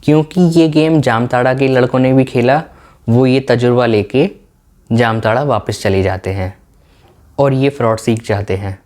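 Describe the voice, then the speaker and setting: A man's voice: medium pitch, moderate pace, darkish tone. A man narrating in an explanatory, documentary style.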